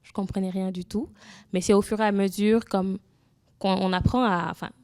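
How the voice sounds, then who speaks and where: A young woman from Senegal, a Wolof speaker, tells her own story as an interview guest. Her voice sits at an ordinary mid pitch in a dry, close sound. She is earnest and keeps restarting, leaning on "comme" as she goes. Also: steady tone, moderate pace, darkish tone, no echo. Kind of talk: personal testimony in an interview.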